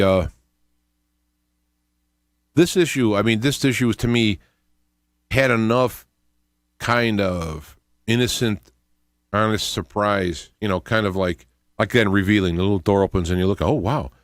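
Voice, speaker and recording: A middle-aged to older man hosting a radio show, thinking aloud in a halting, unscripted monologue with repeated words. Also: fairly low pitch, no room sound, studio quality. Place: small indoor home studio. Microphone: close studio microphone with headphones.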